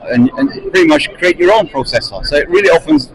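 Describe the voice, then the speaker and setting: A man's voice: a little high, lively intonation, fast, rough recording. A man interviewing a guest at a conference, eager and chatty, often repeating words as he talks.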